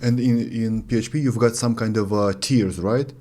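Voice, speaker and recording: A man's voice: on the low side, normal speed, a little mellow. A man hosting a conversational interview, putting probing and challenging questions to a guest. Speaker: podcast host.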